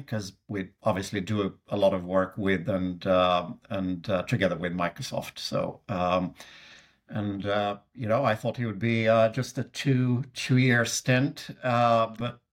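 A middle-aged man from Sweden with a Swedish accent, a guest answering interview questions in a hesitant way, with many fillers and restarts. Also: lowish voice. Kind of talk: conversational interview.

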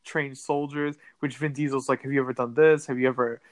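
Low-voiced woman recapping a movie. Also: fairly even intonation, brisk, dry recording. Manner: laughs often, sarcastic asides. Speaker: podcast co-host. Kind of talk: casual movie recap chat.

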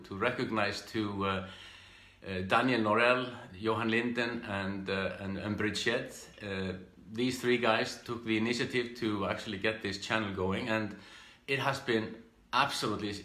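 A middle-aged man from Iceland with an Icelandic accent gives an informal live talk about his own past, speaking haltingly with repeated words and restarts. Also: lowish voice, pushed and bright, echoey room. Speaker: speaker giving a business training talk.

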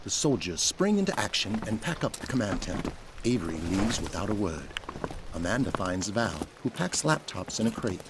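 A man's voice: on the low side, very animated, moderate pace. A man reading scripted narration for an audio drama, with noise behind him. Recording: clear background noise.

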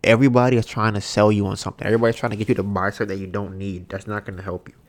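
Podcast host, young man, congested and nasal, lowish voice, animated casual rant. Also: racing, no echo. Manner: animated, mocks others in high put-on voices, swears.

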